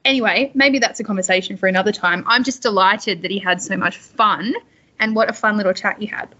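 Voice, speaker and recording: A woman's voice: medium pitch, fairly quick, bright, forceful tone. Australian woman, podcast co-host, thoughtful chatty talk, slightly muffled sound. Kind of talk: podcast commentary.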